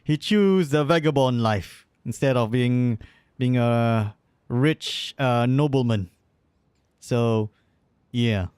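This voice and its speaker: A man's voice: mid-range voice, slow pace, a little mellow, clear, resonant tone, no room sound, clean studio sound. A man giving casual, rambling live commentary on a game stream, swearing freely at times.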